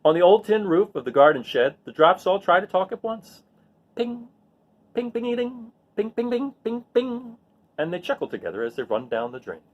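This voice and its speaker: Animated, higher-pitched man reading to children. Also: moderate pace, highs cut off. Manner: expressive, plays up sound words, simple child-directed phrasing. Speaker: read-aloud host for children. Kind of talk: animated storybook read-aloud with casual asides to young listeners.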